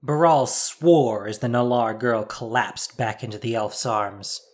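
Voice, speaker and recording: A man's voice: medium pitch, measured pace. A man narrating a scripted audio drama in a dramatic storytelling style.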